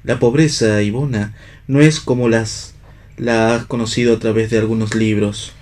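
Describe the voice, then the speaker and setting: A man's voice: lowish voice, steady tone, moderate pace, darkish tone, natural voice. A man acting a disillusioned, ironic husband in a radio play, speaking in a literary, argumentative way. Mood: somber irony. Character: cynical, pipe-smoking husband, disillusioned and philosophical unbeliever. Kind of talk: staged radio play reading.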